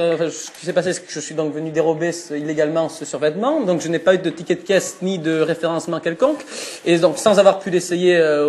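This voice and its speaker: A man with a French accent making a prank phone call, mock-formal and deadpan, fighting back laughter. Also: on the high side. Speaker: prank caller.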